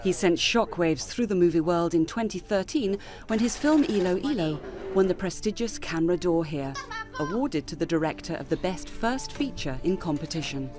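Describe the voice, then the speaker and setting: A woman's voice: lowish voice, expressive, moderate pace, music in the background. A woman reading scripted narration for a news feature.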